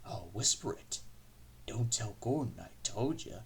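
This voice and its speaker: A man's voice: on the high side, big pitch swings, a little slow, slight background noise, pitched above the performer's own voice. A man voicing a boastful, scheming, short-tempered diesel locomotive in a children's storybook, with an oily, growling manner. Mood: sly, oily. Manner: oily, growling, roaring when angered.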